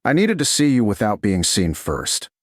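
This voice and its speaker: A man voicing a calm, resolute and gently wry servant woman in an audiobook romance, speaking in a measured, reasonable way. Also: lowish voice, no echo, studio quality. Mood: soft, candid.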